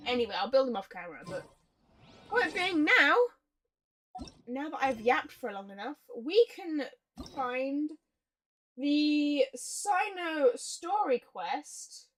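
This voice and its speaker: A woman's voice: high voice, sing-song, takes it slowly, fairly bright tone. A woman livestreaming a video game in casual, chatty, rambling and playful talk, with some loose swearing. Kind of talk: casual gaming stream chat.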